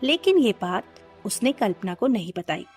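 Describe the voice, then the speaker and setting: A woman's voice: medium pitch, sing-song, normal speed, darkish tone, faint music behind. A woman giving scripted narration for a children's cartoon story.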